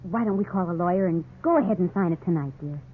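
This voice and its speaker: An actress playing a sensible, cautious young wife in a 1950s radio drama, polite and gently questioning. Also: female voice, lowish voice, quick pace, close to an everyday voice. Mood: thoughtful. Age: young adult. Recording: muffled, noisy 1950s radio recording.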